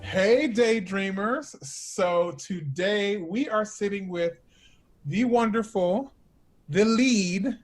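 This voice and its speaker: A man conducts a relaxed interview as host. His voice is quite high for a man and his pace is slow. He halts and searches for phrasing. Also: faint music behind. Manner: halting, searching for words. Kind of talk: casual interview.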